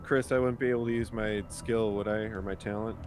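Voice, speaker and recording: Man, game player, slightly deep voice, plain casual delivery, light music in background. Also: steady tone, a little fast, darkish tone. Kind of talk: casual game-table talk, reading rules aloud. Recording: faint music behind the voice. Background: faint music.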